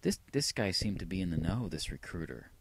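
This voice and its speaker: Very deep-voiced man, rambling casually. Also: very animated, fairly quick, husky, no echo. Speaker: vlogger playing old answering machine tapes. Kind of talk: casual rambling personal narration.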